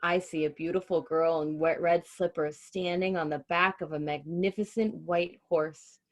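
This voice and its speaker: A woman's voice: lowish voice, fairly even intonation, normal speed, lightly acted. A woman voicing a wonderstruck young girl who helps sew circus costumes, in an earnest storybook delivery. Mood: awestruck.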